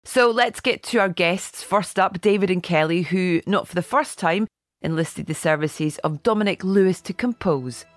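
A middle-aged woman hosting a film podcast, chatty and a bit croaky, reading out a listener's email. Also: fairly low pitch, moderate pace, no room sound, studio quality. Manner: chatty, warm asides, reads listener email. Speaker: podcast host. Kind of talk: casual podcast chat.

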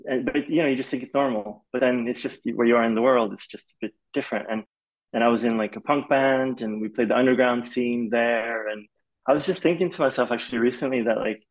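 A man's voice: medium pitch, fast talker, rough recording. A young man, a film composer raised in Malaysia, talking casually in an interview, rambling with lots of fillers. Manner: relaxed, rambling with many "like" fillers.

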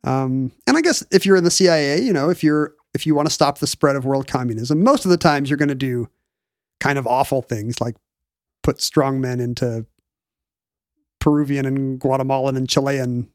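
A man's voice: medium pitch, normal speed, dry recording, studio quality. A man in his forties explaining history in casual conversation, rambling and often searching for the right word. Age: 40s. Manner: rambling, searches for words mid-sentence.

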